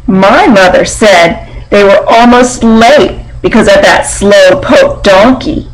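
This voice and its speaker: A woman's voice: medium pitch, slow, natural voice, rough recording. A woman voicing a self-important, dismissive female barn animal in a storybook, boastful and condescending. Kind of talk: storybook character reading.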